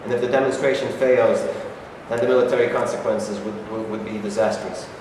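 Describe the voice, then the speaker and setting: A man's voice: lowish voice, fairly even intonation, a little fast, natural voice. Guy playing insistent military adviser in a stage play, mid voice, distant, lots of room noise. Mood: insistent, cautioning. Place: stage reading in a hall. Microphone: far camera microphone. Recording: echoey hall, distant camera, noisy.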